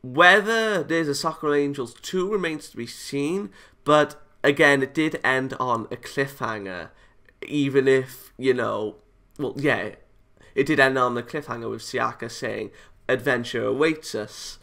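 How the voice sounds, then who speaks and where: A man hosting a gaming video, reading a visual novel aloud and giving casual, rambling commentary in a halting way. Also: mid-range voice, gravelly, pushed and bright.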